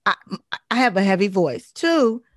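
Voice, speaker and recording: A woman in her forties with a heavy, deep voice talks as an interview guest. She tells stories with emphasis and energy, leaning on repeated phrases. The sound is close and dry, with no room around it. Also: big pitch swings, moderate pace, dry recording. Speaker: guest speaker and life coach. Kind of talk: casual interview conversation.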